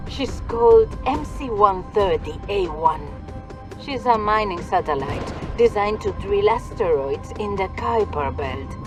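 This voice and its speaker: A woman playing a taunting, condescending mercenary woman in a video game, with a teasing manner. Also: medium pitch. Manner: teasing, condescending, peppers speech with endearments. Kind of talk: video game cutscene acting.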